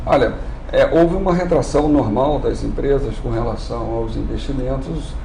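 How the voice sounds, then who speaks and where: A man speaks in a formal, measured way as an institute executive on live TV. His voice is gravelly, and he often clears his throat. The sound is roomy, with faint background noise. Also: medium pitch, mellow. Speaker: industry training institute executive. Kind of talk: live TV interview.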